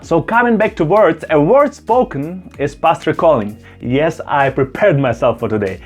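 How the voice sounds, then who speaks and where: A man's voice: a little high, expressive, normal speed, slightly rough, faint music behind. A man, a non-native English speaker, vlogging to camera indoors in an earnest, emphatic way, repeating phrases for stress. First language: not German; Russian-speaking background. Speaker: YouTuber. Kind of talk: direct-to-camera opinion vlog.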